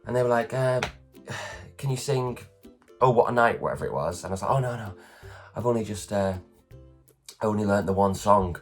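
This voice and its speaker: A man in his thirties from England, telling self-deprecating stories in a casual morning vlog, his voice low and worn after a late night. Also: fairly quick, long pauses, faint music behind. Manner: chatty, self-deprecating, acts out others' lines. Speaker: vlogger, performer. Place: at home.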